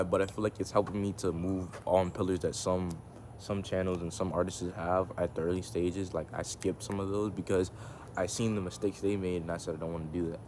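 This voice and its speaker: Male vlogger, deep voice, casual and reflective, phone mic outdoors, slight background noise. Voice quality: can go monotone. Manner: rambling, reflective, many restarts and filler. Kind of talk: casual talk to camera. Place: outdoors in the sun. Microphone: phone camera.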